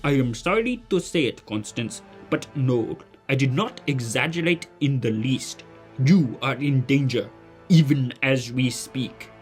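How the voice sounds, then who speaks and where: A man's voice: medium pitch, animated, a little slow, soft background music, natural voice. A man playing a polite, modest genius mentor in a family audio drama, with formal, hesitant phrasing. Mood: gentle, measured.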